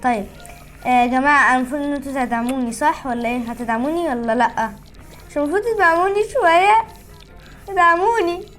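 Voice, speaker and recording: A woman's voice: sits high, quick pace, fairly bright tone, faint music behind. A woman with an Egyptian Arabic accent hosting casual, bantering gameplay in a whiny, pleading, competitive way. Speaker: gaming channel host.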